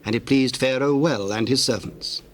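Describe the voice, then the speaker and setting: A man narrating dramatized scripture in a measured, solemn and formal manner. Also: mid-range voice, a little hiss or hum.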